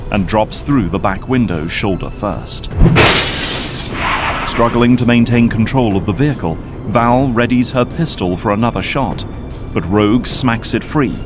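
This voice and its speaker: A man's voice: on the low side, measured pace. A man reading scripted, dramatic narration as the narrator of an audio drama. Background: background music.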